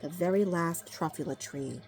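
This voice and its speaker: A woman reading a children's storybook in verse, with expressive, rhythmic, dramatic narration. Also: lowish voice, moderate pace, slight background noise. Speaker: storybook reader.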